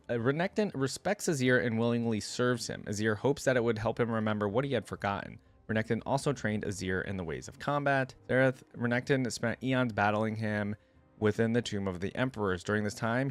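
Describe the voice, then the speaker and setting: A man hosting a reaction video, reading aloud and musing informally in casual commentary. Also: lowish voice.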